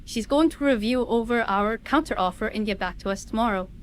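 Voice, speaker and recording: A woman's voice: a little high, fairly quick, slight background noise, lightly acted. A woman playing a polite, hesitant manager. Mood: hesitant, uneasy. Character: polite, hesitant female manager reporting to her boss. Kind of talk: dramatic dialogue acting.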